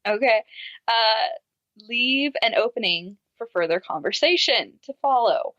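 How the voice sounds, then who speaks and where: Animated woman co-hosting, slightly dull mic. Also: on the high side, measured pace, no echo. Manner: animated, acts out quoted messages, laughs easily. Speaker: podcast co-host. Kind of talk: casual podcast discussion.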